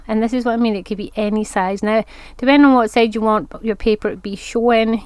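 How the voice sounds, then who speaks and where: A woman's voice: mid-range voice, a little mellow, dry recording. A woman with a congested voice from hay fever, chatting her way through a step-by-step crafting tutorial. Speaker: craft vlogger.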